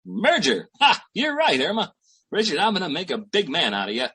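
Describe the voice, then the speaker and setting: A brash small-time schemer played by an actor in a radio sitcom. He pitches like a pushy salesman in a high, husky, swooping voice. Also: wildly swooping pitch, pushed and bright, heavily acted. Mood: eager, scheming. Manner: pushy, fast-talking salesman patter.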